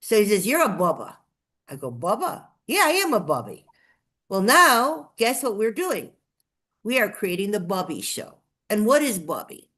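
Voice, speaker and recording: A woman's voice: medium pitch, very animated, moderate pace, fairly bright tone. An older woman with a Chicago accent telling her story as an interview guest, effusive and emphatic, repeating phrases for effect. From Chicago, United States. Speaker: guest, nonprofit TV promoter. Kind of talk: enthusiastic personal storytelling in an interview.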